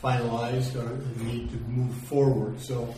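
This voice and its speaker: A man's voice: medium pitch, flat delivery, a little slow, dark tone. Man chairing a council meeting, echoey. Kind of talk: formal council meeting proceedings. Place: council chamber. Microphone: table microphone. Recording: echoey room from far off, faint noise.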